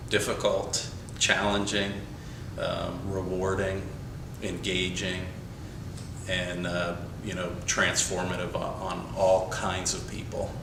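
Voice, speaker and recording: A male architect talking in a documentary interview, measured, listing ideas one by one, recorded in an echoey room from a distance with background noise. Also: low voice, completely flat delivery. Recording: echoey room, mic set far off, noisy.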